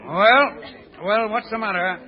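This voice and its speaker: An actor playing an exasperated, bossy, slangy New York gangster boss in a 1930s radio comedy. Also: male voice, high voice, unhurried, a faint crowd. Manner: slangy, barking orders. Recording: muffled old radio recording.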